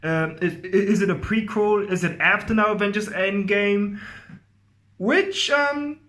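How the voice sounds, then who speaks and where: A man's voice: high voice, measured pace, sharp tone. A man giving a casual, effusive movie review to viewers, rambling and often repeating himself. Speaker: film review host.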